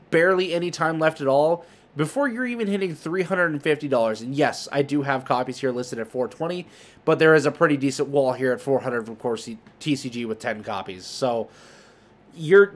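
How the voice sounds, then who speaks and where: A man's voice: a little high, expressive, normal speed. A man giving casual, unscripted market commentary as a host, talking emphatically and rambling, often correcting himself. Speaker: host of a trading card market video.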